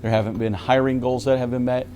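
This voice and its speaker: A man's voice: mid-range voice, flat delivery, fast talker, dark tone, slight background noise. A man explaining problems in an interview, speaking on behalf of a workforce.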